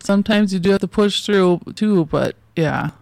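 A woman in her forties chats as an interview guest, her gravelly voice recorded dry and close. Her sentences wander and restart, full of fillers. She laughs between thoughts. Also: mid-range voice, moderate pace, darkish tone, no echo. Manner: rambling, loose sentences with many fillers and restarts. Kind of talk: casual interview conversation.

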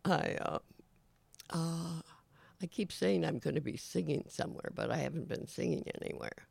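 An older man hosting his own show, talking casually in a halting way with many fillers, his voice raspy today. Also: fairly high pitch, darkish tone, dry recording. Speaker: show host. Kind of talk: casual rambling monologue.

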